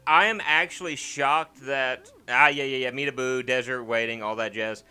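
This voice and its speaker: Male gaming host, very bright and clear, casual joking rambler. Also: medium pitch, fairly even intonation, moderate pace, faint music behind. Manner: rambling, joking, repeats words. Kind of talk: casual let's play commentary.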